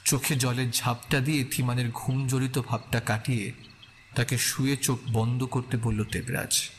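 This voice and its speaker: A man narrating a scripted horror story in a suspenseful manner, with deliberate dramatic pauses. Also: mid-range voice, little pitch movement, slight background noise.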